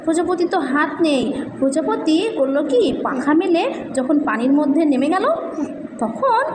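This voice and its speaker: A high-pitched woman tells a story to a small child, pausing to coax answers with simple questions. She repeats key phrases as she goes. The room rings with echo, and there is noise behind her. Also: sing-song. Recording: echoey reverberant room with background noise.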